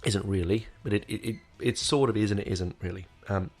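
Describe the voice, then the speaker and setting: A man's voice: lowish voice, brisk, a little mellow, slightly rough, faint music behind. A man hosting his podcast in casual, rambling talk, full of fillers and restarts, sounding nasal while getting over an illness. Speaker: podcast host. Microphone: desk microphone.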